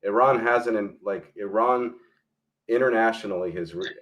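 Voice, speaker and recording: A man's voice: on the low side, normal speed, a bit bright, rough recording. A man talking as a guest on a talk show, arguing bluntly and emphatically, swearing freely. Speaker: guest historian and filmmaker. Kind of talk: casual opinionated discussion.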